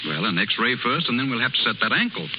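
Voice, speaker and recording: Muffled and hissy, this old radio drama features a young country doctor, played by an actor. He is kindly yet firm, quick-spoken, with an animated delivery. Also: mid-range voice, lightly acted. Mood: gentle, reassuring. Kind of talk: 1930s radio acting. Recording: noisy, muffled 1930s radio recording.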